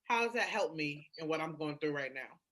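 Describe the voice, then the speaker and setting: A woman's voice: low-pitched, animated, fairly quick, fairly bright tone. A woman in a candid, casual podcast conversation, explaining her points emphatically with everyday slang. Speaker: podcast co-host.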